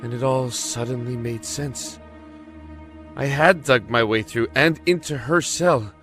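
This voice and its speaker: A man's voice: medium pitch, a bit bright, soft background music, lightly acted. An actor playing a haunted young prisoner who tells of his descent into madness in a solemn, intense audio drama monologue. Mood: awed, haunted. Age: young adult. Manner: solemn, deliberate, intense storytelling.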